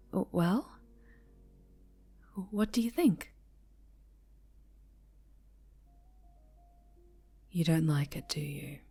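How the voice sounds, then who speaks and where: A sinister, obsessive dark angel played by a woman speaks in a low, unhurried voice. She slips in and out of breathy whispers, tender and menacing at once, in an intimate ASMR drama with clear music underneath. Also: exaggerated voice. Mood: wounded, suspicious. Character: possessive, obsessive dark-angel superheroine turned sinister villain.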